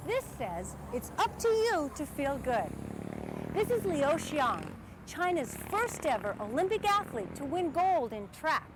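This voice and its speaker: A woman's voice: high-pitched, sing-song, a little slow, background noise. A woman reporting on location, asking questions and showing things in a chatty, guiding tone. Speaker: field reporter. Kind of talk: on-location interviewing and walk-through.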